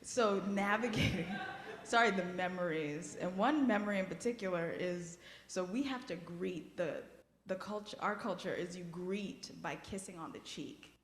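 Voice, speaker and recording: A woman speaking from the pulpit, Haitian Creole her first language, telling personal family stories with warmth and frequent laughter. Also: fairly low pitch, moderate pace, a little hiss or hum. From United States. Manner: heartfelt, storytelling, laughs often. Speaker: guest speaker. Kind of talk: personal testimony sermon. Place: church sanctuary. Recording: echoey hall, long reverb.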